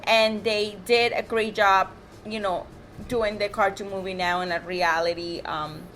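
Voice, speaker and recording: A woman's voice: medium pitch, steady tone, unhurried, fairly bright tone, slight background noise, low-quality recording. A young woman from Ecuador co-hosting a casual movie review chat, bubbly and laughing often. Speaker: co-host.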